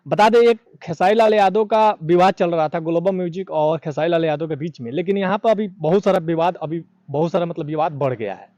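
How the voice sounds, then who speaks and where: Mellow, high-voiced man presenting informal news. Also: no echo. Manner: halting, repeats himself. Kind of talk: informal unscripted news commentary.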